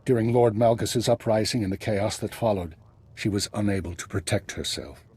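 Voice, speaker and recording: An actor playing an older, retired spymaster in a video game, composed and dry, with measured formal phrasing. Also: male voice, fairly low pitch, a little mellow, husky. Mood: grave.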